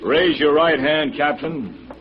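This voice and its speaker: A man's voice: on the high side, lively intonation, slow. Formal old-radio inquiry chairman. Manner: formal, authoritative, procedural. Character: firm, official male chairman of an inquiry board. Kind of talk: 1950s radio drama acting. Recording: dull, hissy vintage radio recording.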